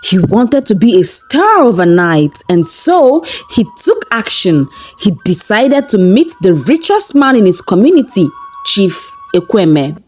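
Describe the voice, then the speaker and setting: Expressive woman storyteller, music behind. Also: mid-range voice, a little slow. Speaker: storyteller, channel host. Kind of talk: scripted folktale narration. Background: background music.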